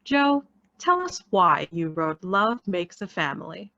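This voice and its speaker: A woman's voice: lowish voice, big pitch swings, takes it slowly, creaky, sharp tone, dry recording, muffled recording, heavily acted. A woman playing a polite, formal professional in a radio play.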